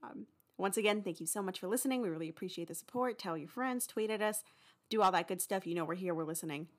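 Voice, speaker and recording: Podcast host: young adult woman, informal, chatty break announcements, medium pitch. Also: fast. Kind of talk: casual mid-episode announcement.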